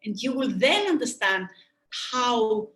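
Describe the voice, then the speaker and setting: A woman with a Greek accent speaks in a webinar presentation, her voice sitting a little high. She talks earnestly and thoughtfully, with frequent "um"s and restarted phrases. The recording has a noticeable room echo. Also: very animated, slow pace, fairly bright tone. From Greece. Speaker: sports medicine doctor presenting a webinar.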